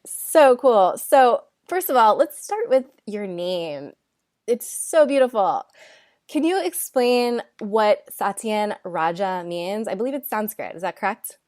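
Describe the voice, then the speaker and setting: A woman's voice: mid-range voice, sing-song, slow, a little mellow. A woman hosting a podcast, reading a poetic intro and asking admiring questions. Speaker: podcast host. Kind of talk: scripted intro and interview.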